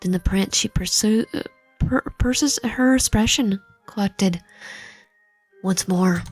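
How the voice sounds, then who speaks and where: A woman reads a visual novel aloud for a gaming video. Her delivery is halting, with stumbles that she corrects and casual asides of her own. Music plays behind her voice. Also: mid-range voice, husky, no room sound, low-quality recording. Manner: halting reading with frequent stumbles and self-corrections. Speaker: video game streamer reading aloud. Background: background music.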